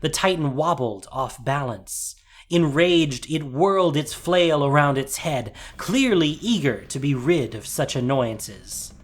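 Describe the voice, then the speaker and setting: A man giving a scripted, dramatic fantasy narration as storyteller, measured and suspenseful. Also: on the high side, lively intonation. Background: music.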